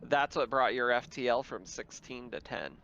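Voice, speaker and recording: A man's voice: medium pitch, normal speed, pushed and bright. Man, game master, conversational, dull sound, faint background noise. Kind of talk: casual tabletop roleplaying game chat.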